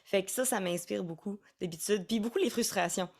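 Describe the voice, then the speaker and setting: A woman's voice: mid-range voice, a little fast, a little mellow, breathy edge. A young woman with a Quebec French accent, a singer-songwriter chatting casually in an interview and often restarting her words.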